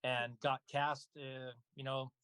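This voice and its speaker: Male interview guest, mid-range voice, dry sound, measured explanatory talk. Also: medium pitch, flat delivery, sharp tone, clear, resonant tone, no echo. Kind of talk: casual conversation.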